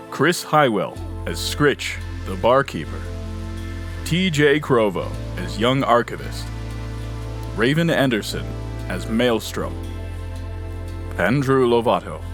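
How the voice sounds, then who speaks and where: A man reading scripted end credits as an announcer for an audio drama. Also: medium pitch. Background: music bed.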